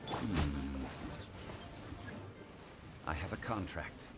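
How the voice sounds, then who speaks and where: Game casting: composed ancient gentleman, deep register, thoughtful formal phrasing, music underneath. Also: animated, very slow, full character voice. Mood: pensive. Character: composed, scholarly gentleman consultant, ancient and dignified. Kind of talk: video game voice acting. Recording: loud game music behind.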